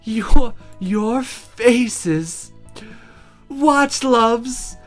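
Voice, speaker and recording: A seductive, teasing she-demon from a visual novel, acted out by a woman. She drawls suggestively in a breathy tone, slowly, with lively pitch. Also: soft background music. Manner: drawn-out, suggestive purring delivery.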